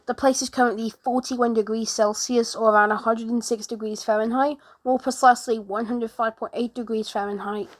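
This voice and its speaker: Casting: female narrator for an amateur emergency-alert spoof, fairly high voice, stumbling delivery with restarts. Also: fairly even intonation. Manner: halting, often restarts sentences. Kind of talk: homemade mock emergency broadcast narration.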